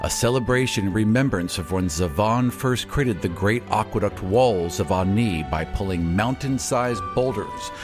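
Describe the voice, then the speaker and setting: A low-pitched man delivers scripted fantasy narration with a storyteller's sense of drama. Music plays clearly behind his voice. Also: moderate pace, few pauses. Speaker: narrator and game master.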